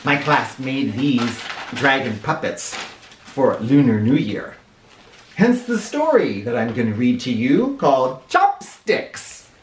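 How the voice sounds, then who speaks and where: A man reads a children's storybook in a roomy recording, a little away from the mic. His delivery is unhurried and very expressive, like a teacher with a class. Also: mid-range voice, slight background noise. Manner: animated, with explanatory asides. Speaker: teacher reading aloud to children. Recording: roomy sound, distant microphone.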